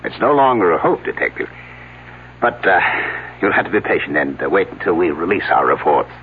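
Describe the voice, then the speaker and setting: A man's voice: low voice, sing-song, brisk, raised voice, phone-quality sound. A man playing an earnest, fussy archaeology professor with a hesitant, explaining manner in a 1970s radio drama. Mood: secretive pride. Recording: thin band-limited old radio, noisy hum.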